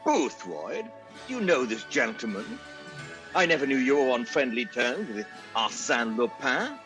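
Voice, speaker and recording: A man playing a vain, excitable captain in a comic radio drama, given to melodramatic exclamation. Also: mid-range voice, measured pace, music in the background. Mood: suspicious. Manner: self-regarding, melodramatic exclamations.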